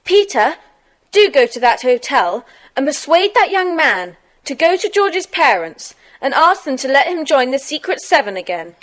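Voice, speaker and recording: A woman's voice: high voice, sing-song, normal speed. A woman playing a curious, concerned child in a secret club, with an inquisitive manner, in an audio drama. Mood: pleading.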